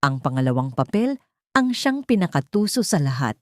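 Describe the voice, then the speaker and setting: Woman, story narrator, low pitch, soft dark tone, dramatic scripted delivery, dry sound. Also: very animated, normal speed, no echo. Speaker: narrator of audio love stories.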